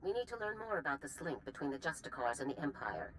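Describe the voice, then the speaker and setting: A woman's voice: sing-song, quick pace, a bit bright, slight background noise, rough recording. An actress voicing a stern older female general in a video game, with a clipped, authoritative, formal manner. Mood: concerned, brisk. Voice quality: low-pitched.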